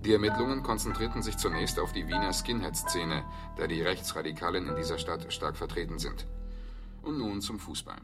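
An actor playing a sober news announcer in a radio drama, reading formally and matter-of-factly. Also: male voice, low voice, a little fast. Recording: loud music, distant from mic.

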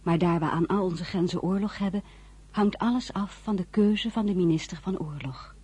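An actress playing a tender, wry young woman narrating her diary in a radio drama, soft, husky and breathy. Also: lowish voice, normal speed. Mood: reflective.

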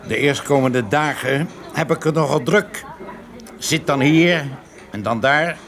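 An actor playing a man who makes deliberately casual small talk to pass on covert information in a radio play. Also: fairly high pitch, a bit bright. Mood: casual, guarded. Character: man covertly passing messages through loud café small talk. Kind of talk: radio drama dialogue. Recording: radio play with busy chatter behind. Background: café chatter.

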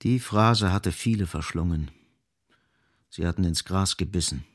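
Male narrator for literary radio play: low, slightly rough voice, dry close sound, deliberate phrasing. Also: dry recording. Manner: measured, deliberate, emphatic repetition.

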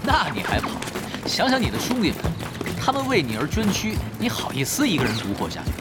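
An actor playing a cool young game tactician, teasing rivals and giving orders unflappably in an audio drama. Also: male voice, high voice, wildly swooping pitch, a little fast. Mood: taunting. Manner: taunting, commanding, unflappable. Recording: loud music under the voice.

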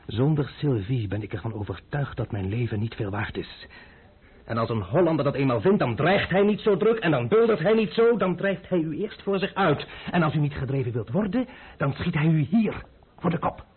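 Defiant Dutch adventurer, raspy and animated. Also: male voice, fairly high pitch. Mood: defiant threat. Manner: wry, unhurried, insolent. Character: stubborn, defiant, dryly mocking Dutch captive adventurer. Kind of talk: Dutch radio play acting. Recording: muffled phone-like old radio recording.

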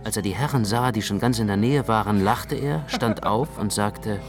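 A man reading literary first-person narration in a measured, carefully phrased way. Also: fairly low pitch, over music.

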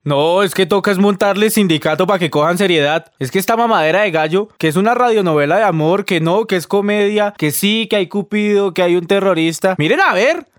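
A woman's voice: medium pitch, a little fast, few pauses, no echo, clean studio sound, close to an everyday voice. A woman playing a bored, complaining, streetwise character in a comic radio soap, colloquial and drawling with a Colombian accent. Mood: fed up.

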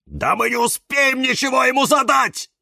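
Man voicing a fiery, grumpy cactus, pitched high. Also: animated, normal speed, gravelly, dry recording, studio quality, exaggerated voice. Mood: furious, fearful. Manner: loud, barking, indignant. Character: grumpy, hot-tempered, suspicious male cactus houseplant.

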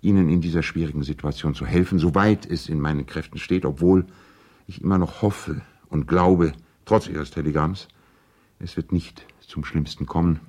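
An actor playing a courteous, earnest lawyer and family friend, reading out letters in formal, measured diction in a radio play. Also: male voice, extremely low pitch, steady tone, a little mellow.

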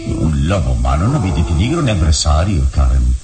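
An actor playing a calm heroic adventurer in an old radio drama, speaking with authority and deliberate emphasis. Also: male voice, a bass voice, loud music behind the voice. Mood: explaining. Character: calm heroic male adventurer, commanding and wise.

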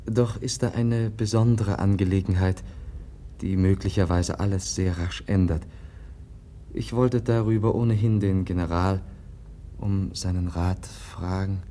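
Casting: guileless young prince, low mellow voice, courteous and hesitant, 1950s radio play. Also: male voice, steady tone, unhurried, a little hiss or hum. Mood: hesitant, absent-minded. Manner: hesitant, polite, earnest. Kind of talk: 1950s German radio drama acting.

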